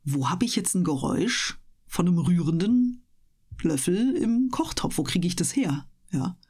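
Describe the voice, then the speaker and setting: A woman's voice: lowish voice, lively intonation, normal speed, darkish tone, slightly rough. A woman chatting casually and explaining things as a guest in a radio interview.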